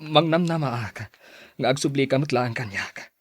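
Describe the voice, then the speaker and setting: A man's voice: mid-range voice, lively intonation, normal speed, no room sound, lightly acted. An actor with a Filipino accent playing a lovesick, heartbroken man who drinks, with an emotional, pleading delivery in radio drama style. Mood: longing.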